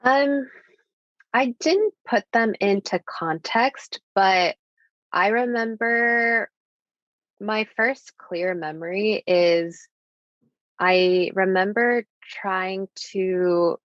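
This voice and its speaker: A woman's voice: medium pitch, extremely slow, no echo. A young woman from the United States whose first language is Japanese, speaking as a podcast guest in an earnest, thoughtful way, with many casual fillers. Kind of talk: reflective personal conversation. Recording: dull lo-fi sound.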